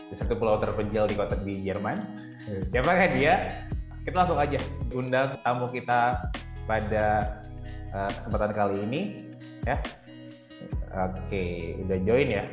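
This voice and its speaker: A man's voice: on the low side, normal speed, long pauses, echoey room, over music. A young man hosting a podcast over a video call, joking and teasing his guest in a casual chat. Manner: joking, teasing, laughs often. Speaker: podcast host.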